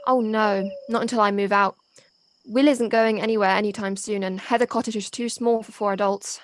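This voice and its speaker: A woman's voice: mid-range voice, steady tone, a little fast, close to an everyday voice. A woman acting a loyal young local in an audio play. She is polite and matter-of-fact, friendly yet guarded, reassuring in her delivery. There is slight noise on the home-made recording. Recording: faint noise, home personal-device recording.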